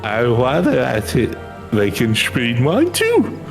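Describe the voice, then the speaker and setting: A man voicing a rough, drunken treasure hunter from a fantasy game, bragging and wheedling in slangy, theatrical speech. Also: mid-range voice, wildly swooping pitch, takes it slowly, soft background music, heavily acted. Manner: slangy, theatrical, swooping delivery. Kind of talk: improvised reading of game dialogue.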